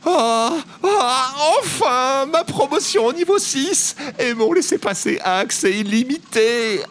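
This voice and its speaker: A man's voice: very high voice, animated, slow pace, fairly bright tone, slight background noise, heavily acted. A man playing a long-suffering tech support worker in an audio drama, wordy and sardonic. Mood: elated.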